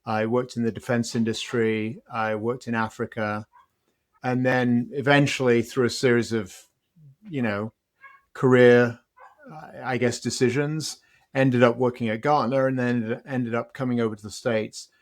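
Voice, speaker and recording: A middle-aged man with a British accent, a podcast guest telling his story in a reflective, measured way. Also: medium pitch, fairly even intonation. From Britain. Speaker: guest, company CEO and author. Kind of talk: interview conversation.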